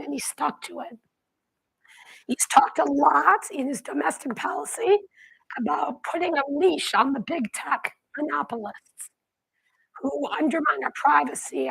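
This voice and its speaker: Hoarse, whispery woman with a cold. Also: sits high, no room sound, poor audio. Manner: coughing and clearing throat between phrases. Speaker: panelist. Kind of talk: panel talk.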